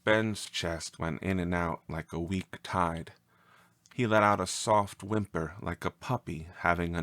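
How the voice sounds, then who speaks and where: A man reading a scripted story as narrator, with an expressive, measured and dramatic delivery. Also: low-pitched, no echo.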